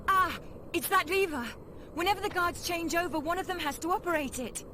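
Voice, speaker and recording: A woman's voice: extremely high pitch, brisk, pushed and bright, slight background noise. An actress playing an alert, practical young woman prisoner in a video game, explaining urgently. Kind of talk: video game cutscene acting.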